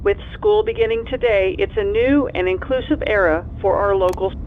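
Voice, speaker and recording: A man's voice: high voice, moderate pace, narrow, tinny audio, a little hiss or hum. A man acting a formal local news reporter in an audio drama, heard through a radio. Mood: formal, neutral.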